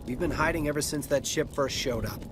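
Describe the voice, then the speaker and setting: An actor playing a plain-spoken, defensive colonist farmer who has survived an attack in a video game. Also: male voice, fairly high pitch, fairly quick, noisy background, natural voice. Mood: shaken, relieved. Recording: game dialogue over loud outdoor ambience.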